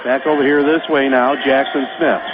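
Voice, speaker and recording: A man with a Michigan accent calling high school basketball play-by-play on local radio, in a brisk running call of the action. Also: fairly even intonation. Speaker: play-by-play announcer. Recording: tinny phone-line radio broadcast with noise.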